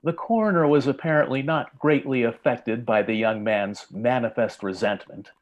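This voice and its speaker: A man narrating a story in old-time radio style, with formal, measured literary delivery. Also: medium pitch, lo-fi sound.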